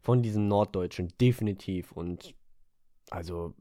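A man's voice: low voice, unhurried, warm tone, no room sound, clean studio sound. A man co-hosting a podcast in casual chat, rambling and hesitant, often circling back with fillers. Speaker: podcast co-host.

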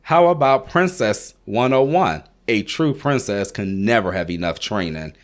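A man voicing a cheerful little girl in a storybook he reads aloud, in a soft, bright voice. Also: fairly low pitch, lively intonation, a little slow. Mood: playful.

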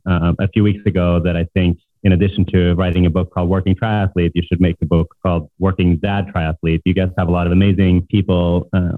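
Low monotone norwegian guy, middle-aged, chatty with lots of uh's, dry tinny phone-quality audio. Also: no room sound. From Norway. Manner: easygoing, talkative, with frequent fillers. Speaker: podcast guest. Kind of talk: casual interview chat. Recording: tinny phone-like remote line.

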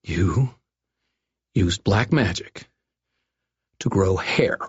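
A man voicing a stern, wry investigator wizard in an audiobook, speaking in a quiet, measured and threatening way. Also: sits low, animated, muffled recording, slight background noise, full character voice. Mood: incredulous, grave. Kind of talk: audiobook first-person dialogue.

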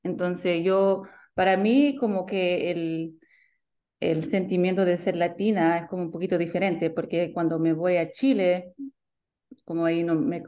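Australian-accented woman, hesitant and lowish. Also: steady tone, measured pace, mellow. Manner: hesitant, restarts and mixes in English words. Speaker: guest. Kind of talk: casual interview.

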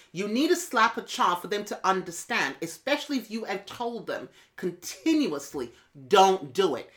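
A woman's voice: fairly low pitch, a bit bright. A woman in a candid conversation, arguing her point assertively and firmly. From Jamaican family background. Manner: assertive, argues her point, quotes her mother.

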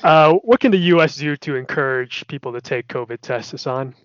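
A man hosting a casual podcast, putting provocative questions to his guests with deadpan jokes. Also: medium pitch, fairly quick, highs cut off. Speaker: podcast host.

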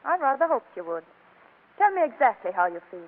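An actress playing a proud, wounded and irate wife in a 1940s radio comedy, tart and dramatic, on a muffled old broadcast. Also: female voice, high-pitched, big pitch swings, fairly quick. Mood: wounded, wistful.

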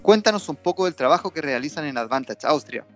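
A man's voice: on the high side, lively intonation, fast, a bit bright, soft background music. A male podcast host reading a formal, scripted introduction and asking the guest questions.

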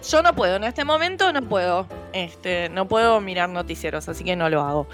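A woman's voice: on the low side, lively intonation, fairly quick, soft background music. Chatty Rioplatense woman, slangy. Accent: Rioplatense Spanish. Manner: chatty, slangy, frequent fillers. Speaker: podcast co-host. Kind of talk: casual chat.

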